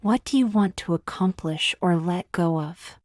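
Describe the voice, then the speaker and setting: A woman leading a scripted guided meditation in a soothing, gentle, measured way, with long pauses and soft, breathy phrase endings. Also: on the low side, dry recording, studio quality.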